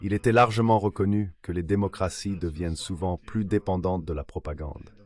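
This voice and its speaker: A man delivering a translated voice-over of a political discussion in a measured, scripted-sounding way. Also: low-pitched, steady tone, darkish tone.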